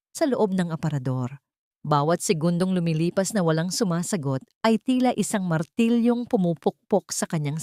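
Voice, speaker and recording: A woman reading a scripted story in an expressive, melodramatic storytelling manner. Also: fairly low pitch, dry recording, studio quality. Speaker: narrator. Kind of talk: dramatic scripted story narration.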